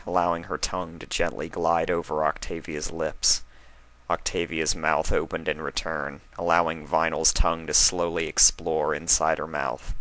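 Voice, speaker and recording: A man's voice: very deep voice, sharp tone, low-quality recording. A man reading a fan fiction story aloud as a scripted narrator.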